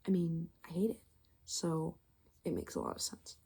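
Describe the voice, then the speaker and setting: A woman with a soft, mellow voice that crackles heavily with vocal fry. She talks in a casual ASMR style, drifting into breathy whispers as she meanders through her thoughts. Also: fairly low pitch, big pitch swings. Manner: musing, meandering, halting mid-thought. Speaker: ASMR video creator. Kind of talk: ASMR, rambling casual personal explanation.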